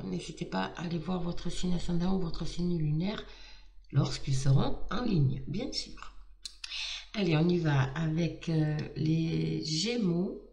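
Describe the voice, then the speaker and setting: A woman with a slightly hoarse voice talks her viewers through a card reading, warm and excitable, stumbling through restarts and fillers. She sits a little away from the mic, and the room rings around her. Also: fairly low pitch, echoey room, far from the mic. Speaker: tarot card reader. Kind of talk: casual astrology reading to camera.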